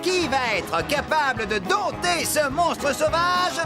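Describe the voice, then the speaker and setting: An actor playing a jolly, bombastic male rodeo announcer in a children's cartoon, with a grandiose, drawn-out barker delivery. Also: very high-pitched, expressive, heavily acted. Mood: excited, mock-dramatic. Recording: loud music behind the voice.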